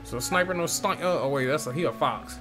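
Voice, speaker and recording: Casting: male gamer, casual joking reactions, slangy delivery, clear game music behind. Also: on the high side, brisk. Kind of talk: casual gameplay commentary.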